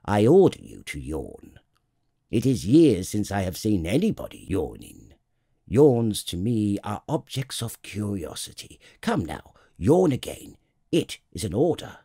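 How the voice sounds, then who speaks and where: A man's voice: on the low side, wildly swooping pitch, a little slow, gravelly, warm tone, slightly rough, no echo, clean studio sound, heavily acted. Man putting on a pompous, imperious storybook king. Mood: imperious, flustered. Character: pompous, kindly absolute king, vain but reasonable.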